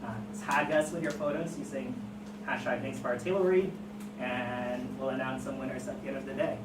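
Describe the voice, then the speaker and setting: An event host with a halting, informal way of speaking, making announcements to open a gathering. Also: mid-range voice, steady tone. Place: indoor event room, recorded from afar. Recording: echoey distant room recording, loud noise.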